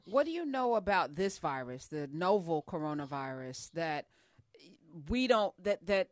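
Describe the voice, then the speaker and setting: A woman hosting a talk show, welcoming and questioning a guest in an energetic, conversational way. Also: fairly low pitch, measured pace, dry recording. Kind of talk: interview hosting.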